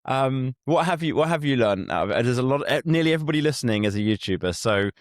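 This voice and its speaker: A man hosting a casual interview, talking in an animated, analytical way. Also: medium pitch, fast, no echo, studio quality. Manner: animated, numbers-minded, quotes himself in a lifted voice. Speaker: podcast host.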